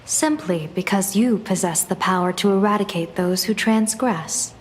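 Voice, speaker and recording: A woman playing a composed, authoritative general in a video game, speaking in a measured, formal and firm way over music. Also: medium pitch, slight background noise, natural voice. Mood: probing. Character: composed, righteous female general, probing and authoritative. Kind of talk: scripted video game voice acting.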